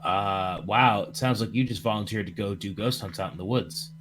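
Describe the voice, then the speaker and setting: A man's voice: fairly low pitch, moderate pace, fairly bright tone, slight background noise. A young man bantering casually on a live stream with friends. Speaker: voice actor on a stream.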